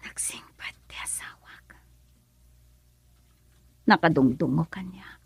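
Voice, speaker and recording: Young married woman, Ilocano accent, emotional radio drama delivery, breathy edge, dry studio sound. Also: low voice, slow pace, a little mellow, dry recording, whispered, exaggerated voice. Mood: hushed, reflective.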